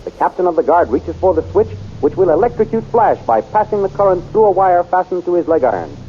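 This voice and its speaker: High, animated male serial announcer. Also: fast. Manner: dramatic, animated. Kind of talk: scripted serial narration. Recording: muffled old radio recording with music.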